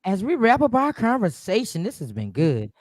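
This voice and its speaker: A woman chats casually as a podcast host in a dry, close recording. Her mid-pitched voice swings widely in intonation, and she lands short, emphatic affirmations. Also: huge pitch swings, normal speed, a little mellow, no echo. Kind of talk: casual interview chat.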